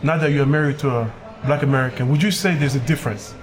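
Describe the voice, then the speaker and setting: A man's voice: mid-range voice, fast. A man hosting an interview, asking questions and backing the talk with short affirmations. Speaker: podcast host.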